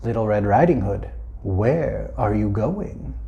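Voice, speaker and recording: A man's voice: fairly low pitch, lively intonation, takes it slowly, vocal fry, dark tone, lo-fi sound, pitched below the performer's own voice, exaggerated voice. A man voicing a hungry, sly, scheming wolf in a children's story, talking in a coaxing way.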